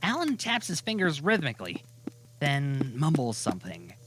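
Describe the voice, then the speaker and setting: A man narrating a scripted audio drama as a storyteller, deliberate and given to dramatic pauses. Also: a little high, wildly swooping pitch, background noise.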